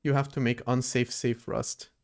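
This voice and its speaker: A man chats casually on a live coding stream, his voice gravelly with creak at the ends of phrases. He rambles and thinks aloud, drifting between his code and the viewers' messages. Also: mid-range voice, level tone, moderate pace, a little mellow. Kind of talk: casual livestream chat while coding.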